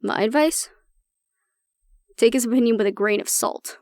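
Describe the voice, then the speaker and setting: A woman playing an anxious young woman with a tough front and a soft core, confiding casually and with swearing in an intimate audio roleplay. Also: a little high, moderate pace, no room sound, studio quality, lightly acted. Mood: worried, affectionate.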